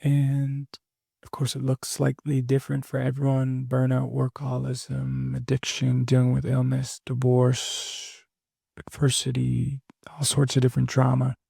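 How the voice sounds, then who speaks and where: A young man losing his voice, talking candidly and haltingly in a reflective personal monologue as a podcast host. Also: mid-range voice, completely flat delivery, gravelly, dark tone, husky, no room sound, studio quality. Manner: halting, candid, many fillers and restarts.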